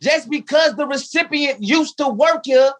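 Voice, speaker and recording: A man's voice: very high-pitched, unhurried, fairly bright tone. A man playing a loyal but cheeky household valet in 1950s radio comedy style, with dry, sassy punchlines. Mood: wry.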